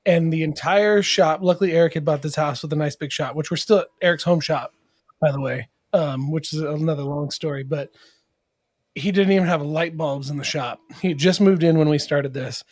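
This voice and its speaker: A man's voice: a little high, a little fast, dry recording, clean studio sound. A man as podcast guest and sponsor, talking casually with enthusiastic, rambling stories. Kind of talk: casual chat.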